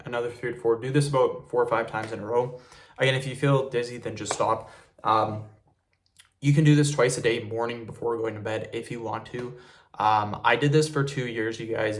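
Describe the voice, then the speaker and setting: Young man, casual tutorial host, relaxed and repetitive, mid-range voice. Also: mid-range voice. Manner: relaxed, repetitive, addresses viewers as "you guys". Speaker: fitness video host. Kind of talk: casual tutorial talk to camera.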